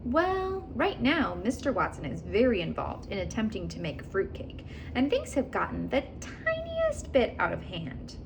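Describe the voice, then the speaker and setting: A woman's voice: a little high, huge pitch swings, moderate pace, gravelly, hardly any pauses, heavily acted. An obliging, slightly flustered neighbor lady from a storybook, acted by a woman reader, in a raised, lilting voice. The recording has noticeable noise. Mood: flustered, polite.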